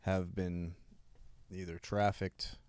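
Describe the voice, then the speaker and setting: A man in casual podcast chat, asking thoughtful questions in a soft-spoken way. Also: low voice, flat delivery, slow, smooth, dry recording.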